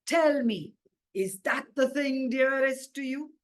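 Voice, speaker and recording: A woman puts on a bearded mullah preaching solemnly in a storybook. The delivery is deliberate and oratorical, raised in pitch, with weighty stresses on key words. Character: grave preaching bearded male mullah on video.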